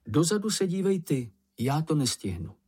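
A narrator voicing a good-natured young male troll child, curious and calm, in a matter-of-fact manner. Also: mid-range voice, breathy edge, voice pushed up. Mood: indignant.

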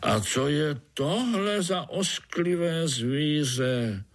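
A man's voice: lowish voice, little pitch movement, lightly acted. Man as a grumpy, drawling old spider. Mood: indignant. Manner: grumbling, drawn-out, peevish. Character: grumpy old male spider leader, sullen complainer.

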